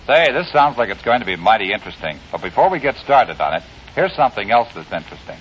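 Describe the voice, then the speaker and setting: Animated 1950s American radio announcer man. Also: lowish voice, fast, a little hiss or hum. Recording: muffled 1950s radio recording.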